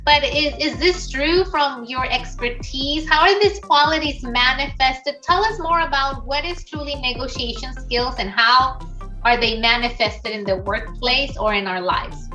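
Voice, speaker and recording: A woman's voice: high voice, a little slow, bright, forceful tone, distant mic, soft background music. A middle-aged woman hosting an online session, reading a formal prepared welcome and then asking her guest questions. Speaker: host. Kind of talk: scripted introduction and interview questions.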